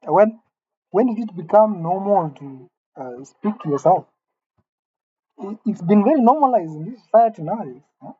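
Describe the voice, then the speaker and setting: A man's voice: high-pitched, expressive, normal speed, husky, phone-quality sound. A man in his late twenties musing aloud to the camera in a wry, rambling, self-mocking way, alone in an empty room. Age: late 20s. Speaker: vlogger. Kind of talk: musing solo monologue to camera.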